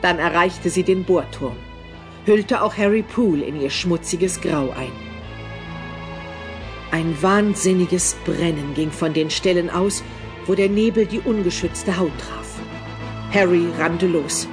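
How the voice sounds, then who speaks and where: A man's voice: sits high, measured pace. A man reading scripted, dramatic narration for a German horror audio drama. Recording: music behind the voice.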